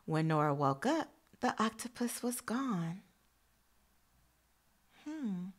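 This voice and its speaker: A woman's voice: mid-range voice, big pitch swings, slow pace. A woman teacher reading a picture book aloud expressively to young children, adding encouraging asides addressed straight to them.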